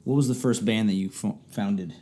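A man's voice: fairly low pitch, normal speed, mellow, breathy edge. A man hosting a radio show, talking in an enthusiastic, conversational way with playful asides. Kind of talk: scripted intro and interview.

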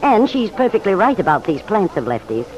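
Actress as a kindly mother, lively old radio voice. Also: mid-range voice, natural voice. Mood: warm, fond. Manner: gentle, motherly, unhurried. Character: kindly, proud older mother and aunt. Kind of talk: old-time radio serial acting. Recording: noisy vintage radio broadcast.

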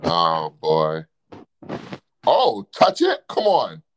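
A man with a low voice that swings widely in pitch as he talks. He keeps quizzing and needling the other speaker in a playful, casual way. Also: wildly swooping pitch, drawn-out words, slight background noise. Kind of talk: casual chat.

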